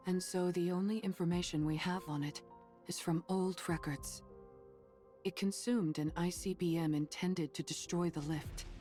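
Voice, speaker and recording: A woman playing a stern, protective female android soldier in an anime game dub, speaking in a measured, grave, firm way. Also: on the low side, darkish tone, lightly acted. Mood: grave, explanatory.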